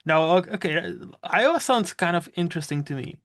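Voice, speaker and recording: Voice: mid-range voice, lively intonation, fairly bright tone, dry recording. A podcast host from the Republic, speaking English in a chatty, digressive way. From Czech Republic. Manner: chatty, digressive, quick to laugh. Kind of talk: casual chat.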